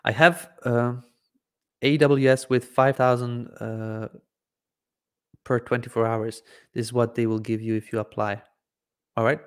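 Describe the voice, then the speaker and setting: Casting: man, 30s, from Croatia, native Hungarian speaker, relaxed hesitant live Q&A host, close desk mic. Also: medium pitch, measured pace, long pauses, clear, resonant tone. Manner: relaxed, hesitant with frequent ums and "I believe". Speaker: livestream Q&A host. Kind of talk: casual live Q&A answering viewer questions. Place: home studio, indoors, seated in gaming chair. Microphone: desk microphone in front of face.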